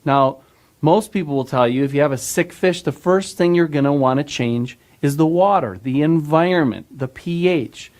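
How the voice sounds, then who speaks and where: A man's voice: a little high, normal speed, darkish tone. A man giving an informal explanatory talk, conversational, with everyday analogies and rhetorical questions.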